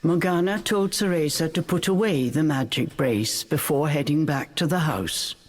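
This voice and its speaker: A woman narrating a fairy tale for a video game in an expressive, measured storytelling manner. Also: low-pitched. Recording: faint music behind the voice.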